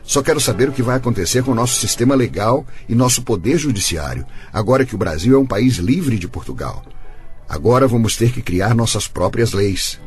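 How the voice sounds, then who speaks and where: A man's voice: medium pitch, lively intonation, brisk, soft background music, lightly acted. An actor playing a genial, long-winded male lawyer, courteous and effusive, in a radio drama. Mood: earnest, courteous.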